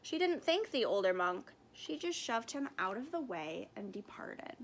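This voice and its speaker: A woman reading a storybook aloud in an expressive narrating style with careful phrasing. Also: fairly high pitch, moderate pace, a little hiss or hum. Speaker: storybook reader.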